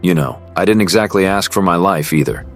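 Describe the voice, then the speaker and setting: A man's voice: low-pitched, brisk. A man playing an earnest, privileged teenage boy who confides and narrates in an amateur romance drama. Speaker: character and first-person narrator. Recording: music behind the voice.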